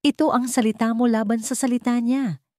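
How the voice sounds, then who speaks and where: A woman's voice: fairly high pitch, steady tone, fairly quick, darkish tone, no echo, clean studio sound, voice pushed up, close to an everyday voice. A woman voicing a serious, determined female prosecutor with a firm manner.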